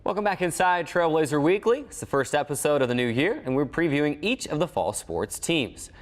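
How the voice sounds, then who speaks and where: A man's voice: on the high side, big pitch swings, brisk. A man with an American accent reading scripted sports news as a show host.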